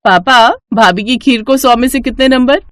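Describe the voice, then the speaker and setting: A woman's voice: a little high, moderate pace, a bit bright, no room sound, voice pushed up, lightly acted. A woman as a chirpy, teasing young sister-in-law. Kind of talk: acted Hindi family storybook dialogue.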